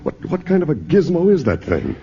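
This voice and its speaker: An actor playing a stammering, excitable male sheriff in a 1950s radio drama. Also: fairly high pitch, fairly quick, gravelly, heavily acted. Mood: alarmed. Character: rattled small-town male sheriff. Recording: hissy lo-fi 1950s radio broadcast.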